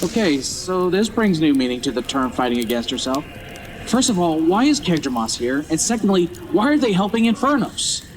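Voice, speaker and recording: Uneasy, curious listener played by an actor, animated pitch, questioning, noisy fantasy audio drama. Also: normal speed, lightly acted. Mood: puzzled. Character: nervous, inquisitive listener poring over old legends.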